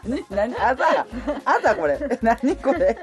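A woman's voice: fairly high pitch, lively intonation, unhurried, music in the background. A woman with a Kansai accent bantering casually during drama recording outtakes, often flustered and giggly as she stumbles over lines. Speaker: amateur radio drama writer and performer.

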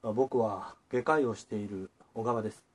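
An actor playing a gentle, mature surgeon in love, polite and earnest, husky-voiced, in a romantic radio drama. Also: male voice, fairly low pitch, normal speed, close to an everyday voice.

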